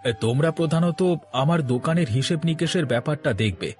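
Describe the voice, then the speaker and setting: A man's voice: a little high, fast talker, darkish tone, faint music behind, natural voice. A man voicing a kind, honest shopkeeper and father in a moral-story cartoon, speaking in a welcoming, earnest way. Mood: earnest, instructive.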